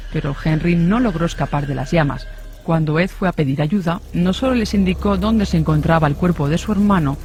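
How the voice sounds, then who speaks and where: High-pitched man narrating dark true crime. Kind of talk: scripted true-crime narration for a suspense audio story.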